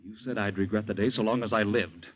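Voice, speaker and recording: An actor playing a bitter, accusing young son with an American accent, in an intense, clipped old-time radio style. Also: male voice, on the low side, quick pace, natural voice. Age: young adult. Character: bitter, accusing young son, grieving his murdered sweetheart. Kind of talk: 1940s radio acting. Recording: muffled old radio recording.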